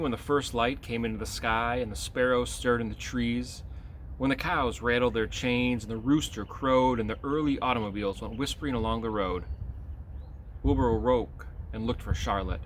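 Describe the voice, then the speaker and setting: A man's voice: mid-range voice, a bit bright. Man reading aloud outdoors, faint background noise. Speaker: teacher reading a children's book aloud. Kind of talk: casual talk and expressive read-aloud. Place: outdoors on a home back patio.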